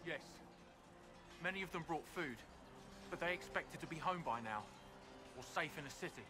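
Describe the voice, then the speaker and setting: An actor playing a weary, dutiful corporal in a fantasy game, plain-spoken and a little wry. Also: male voice, a little high, lively intonation, moderate pace, over music, natural voice. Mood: weary, concerned. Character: weary, dutiful male army corporal managing refugee aid. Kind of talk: scripted fantasy game dialogue.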